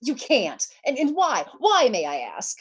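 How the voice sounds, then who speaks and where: A woman's voice: a little high, huge pitch swings, a little slow, slightly rough, exaggerated voice. A woman playing a nervous, demanding bedridden lady in an old-time radio suspense play, stammering, fretful and indignant.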